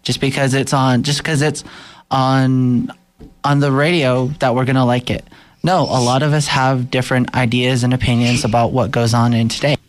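A man's voice: mid-range voice, little pitch movement, normal speed. A teenage boy speaking earnestly in a casual discussion, restarting his sentences. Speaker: discussion participant.

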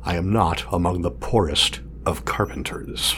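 A man playing a proud, exacting craftsman of android companions in an audio drama, speaking in a formal, measured, condescending way. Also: sits low, fairly even intonation, fairly bright tone. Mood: smug, condescending. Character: pompous male craftsman of artificial companion drones, exacting, proud. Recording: clear background noise, sci-fi lab ambience.

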